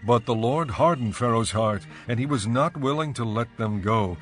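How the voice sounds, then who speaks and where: A man's voice: medium pitch, normal speed, faint music behind. A man narrating scripture as the scripted narrator of a dramatized audio Bible.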